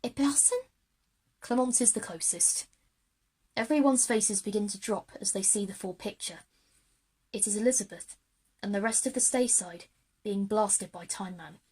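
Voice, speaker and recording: A woman's voice: mid-range voice, moderate pace, darkish tone, slightly rough. A woman reading scripted present-tense narration for an audio drama.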